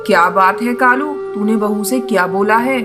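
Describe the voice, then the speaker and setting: A woman's voice: medium pitch, moderate pace, over music, lightly acted. A woman voicing a worried older mother-in-law bird in a children's cartoon, speaking in a familiar, plaintive way. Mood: concerned. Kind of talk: Hindi children's moral-story cartoon dubbing.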